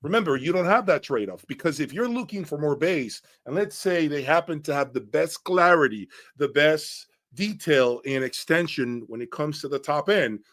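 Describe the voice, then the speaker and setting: A man's voice: on the high side, animated, normal speed, hardly any pauses, fairly bright tone. A man reviewing audio gear in an informal, opinionated breakdown, speaking bluntly and emphatically and often checking in with the listener.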